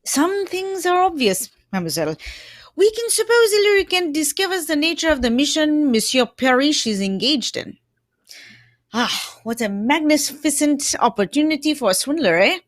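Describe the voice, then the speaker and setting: A woman's voice: sits high, sing-song, normal speed, no echo, studio quality. A woman playing a shrewd, courteous male detective in an old-time radio mystery, explaining things theatrically. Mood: explaining, triumphant.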